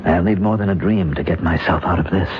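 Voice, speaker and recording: A man's voice: low voice, fast talker, close to an everyday voice. An actor playing a bewildered man in an old radio drama, protesting insistently in plain, agitated speech. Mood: frustrated. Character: bewildered man trapped in Running Beaver's body, protesting. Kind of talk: 1970s radio drama acting. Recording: noisy, dull old radio broadcast recording.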